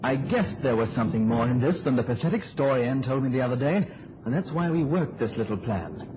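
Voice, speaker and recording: An actor playing a brisk, commanding newspaper reporter in an old-time radio drama. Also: male voice, medium pitch, animated, close to an everyday voice. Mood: commanding, triumphant. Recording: noisy, muffled old radio recording.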